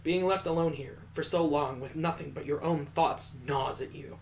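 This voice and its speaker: Higher-voiced man reading a game script, noisy background. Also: moderate pace, vocal fry. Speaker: gaming video host. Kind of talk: reading visual novel text aloud with off-the-cuff commentary.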